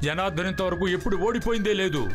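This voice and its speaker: A man's voice: high voice, rapid-fire, lightly acted. A man playing a boastful, commanding villain who schemes after treasure in a dubbed children's cartoon. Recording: music behind the voice.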